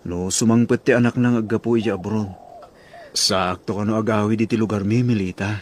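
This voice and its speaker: A man's voice: lowish voice, fairly even intonation, fairly quick, a little mellow, a little hiss or hum, close to an everyday voice. A man playing a kind, courteous, easygoing young suitor in an Ilocano audio drama, with an Ilocano accent. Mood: friendly. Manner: forgiving, easygoing.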